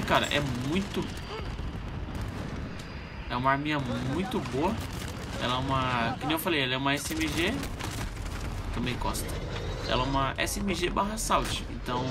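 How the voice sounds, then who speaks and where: A man's voice: medium pitch, very noisy. A young Brazilian man with a stuffy, nasal voice from a cold, chatting casually to viewers while commenting on his gameplay. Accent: Brazilian Portuguese. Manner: relaxed slangy chatter, talks to viewers. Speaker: gaming channel host. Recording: loud game gunfire over the voice.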